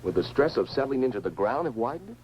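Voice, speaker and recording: A man's voice: medium pitch, very animated, fast talker, dull audio, close to an everyday voice. A man acting a grim, self-blaming field engineer in a 1950s science fiction film, speaking in terse, clipped reports. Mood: bitter, troubled. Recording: noisy old film soundtrack.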